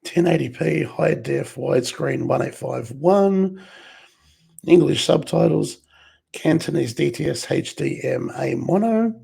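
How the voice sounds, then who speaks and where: A man, hoarse and under the weather, clearing his throat often as he reads film box covers aloud in a slow, relaxed unboxing. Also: on the high side. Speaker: collector reviewing a film set.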